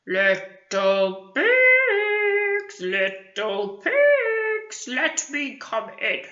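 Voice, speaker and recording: A big bad storybook wolf, acted out by a woman, booming out boasts and threats in very slow, drawn-out words. The delivery is blustering and menacing, in an echoey room. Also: wildly swooping pitch, bright, forceful tone, soft background music, heavily acted. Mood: smug, demanding. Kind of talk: children's storybook read aloud. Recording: echoey room, slightly muffled.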